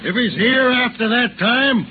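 A man's voice: very high voice, expressive, measured pace. An actor playing a tough, plain-spoken frontiersman in a 1930s radio drama, with folksy Old West speech. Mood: stern, determined. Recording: noisy, tinny 1930s radio recording.

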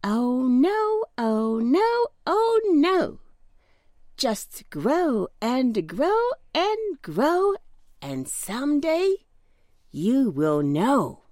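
A woman's voice: high-pitched, sing-song, extremely slow, a little mellow, no echo, studio quality, full character voice. A woman voicing merry, reassuring little sunbeams and breezes in a children's storybook, in a singsong, coaxing manner. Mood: playful, teasing.

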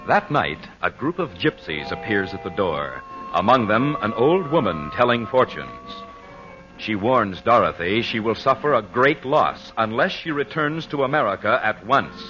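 A man announcing and narrating an old-time radio show in a theatrical, deliberate, emphatic scripted style. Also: fairly low pitch, sing-song. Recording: dull old radio recording, faint music.

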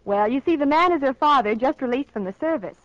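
A woman's voice: high voice, expressive, fast talker, no echo. A woman presenting a scripted 1940s radio commercial in a neat, instructive way. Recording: dry, dull 1940s radio recording.

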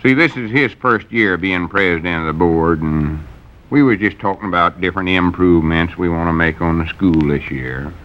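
A man's voice: sits low, moderate pace, natural voice. A man doing a cagey old country storekeeper. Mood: evasive. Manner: evasive, folksy drawl. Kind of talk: 1940s radio comedy acting. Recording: dull old 1940s radio recording.

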